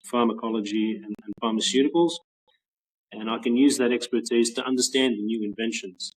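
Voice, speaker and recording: A husky-voiced Australian man around forty talks easily on a video call, his pitch sitting in the middle. He rambles a little, restarting phrases as he thinks. The tone stays relaxed and unhurried. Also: poor audio. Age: 40s. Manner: relaxed, rambling with many restarts and soft laughs. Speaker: guest, patent office trainer. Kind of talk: casual interview chat.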